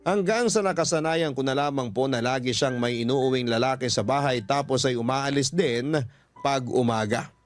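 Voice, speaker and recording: A man's voice: mid-range voice, normal speed. A man working as a radio storyteller, reading a personal letter aloud as earnest, polite dramatic narration. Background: background music.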